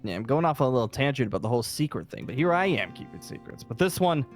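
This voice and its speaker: A man playing a weary, irritable survivor leader in an improvised zombie game roleplay, talking in a halting, rambling, emphatic way. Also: medium pitch, very animated, soft background music, natural voice. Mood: secretive, weary. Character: weary, irritable safe-haven leader secretly seeking a zombie cure.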